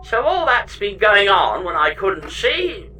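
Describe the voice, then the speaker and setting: A gushing, needy little robot space pod from a sci-fi radio comedy. The delivery swoops dramatically up and down at an unhurried pace. The voice sits a bit away from the mic with music underneath. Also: male voice, high voice, big pitch swings, sharp tone, recorded from a distance, full character voice. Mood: delighted, touched. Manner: gushing, exclamatory.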